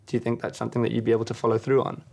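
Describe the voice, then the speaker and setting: Deadpan South African man. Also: medium pitch, very fast, vocal fry. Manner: deadpan, awkward, mock-innocent questions. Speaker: comedy host interviewer. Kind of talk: deadpan spoof interview.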